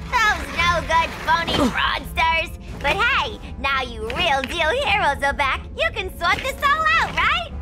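A giddy, wisecracking villainess in a video game. Her squeaky voice sits very high and leaps all over the place. Loud game music plays under her. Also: big pitch swings, unhurried, few pauses, heavily acted. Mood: cheeky. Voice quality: squeaky, brassy. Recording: game soundtrack music behind voice.

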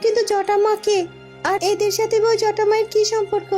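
Woman as an earnest, very high-voiced palace maid. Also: monotone, brisk, mellow. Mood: suspicious, determined. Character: loyal, suspicious young palace maidservant, earnest and protective. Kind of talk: cartoon fairy-tale voice acting. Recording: music behind the voice.

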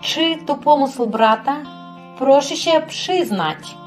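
A haughty, fussy society wife acted by a woman in a cartoon. She speaks in a high voice at a slow pace, demanding and complaining, with faint guitar music behind. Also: expressive. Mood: suspicious, demanding. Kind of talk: amateur stage-play acting in a cartoon.

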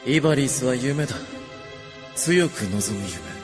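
A man's voice: mid-range voice, sing-song, music in the background. An actor playing a mysterious, solemn man in a Japanese audio drama, speaking portentously, as if testing the listener in a dream. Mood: solemn, ominous. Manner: slow, portentous.

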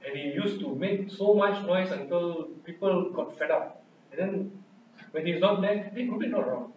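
A man's voice: high voice, normal speed, far from the mic, dull audio, noisy background. Older Malaysian man lecturing, echoey room. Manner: anecdotal, acts out quoted voices. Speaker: trainer. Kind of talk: training talk to an audience. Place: classroom with projector. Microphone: handheld microphone.

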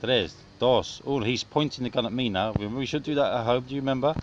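A man's voice: mid-range voice, fast. A middle-aged man giving casual, playful commentary on a home video in a jokey, mock-dramatic way. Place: small back garden, outdoors. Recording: muffled, noisy outdoor camera audio with clicks.

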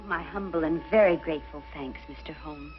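A woman's voice: low-pitched, a little fast, natural voice. 1950s drama lady female actor: brave, formal, tentative, muffled old sound with faint music beneath. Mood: grateful. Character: brave, dignified lady shielding her husband. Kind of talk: 1950s detective drama acting.

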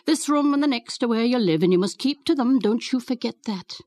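A woman's voice: fairly high pitch, animated, fairly quick, a little mellow, no echo, studio quality, lightly acted. A woman voicing a brusque, unceremonious housekeeper laying down rules in a storybook reading. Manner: unceremonious, bossy.

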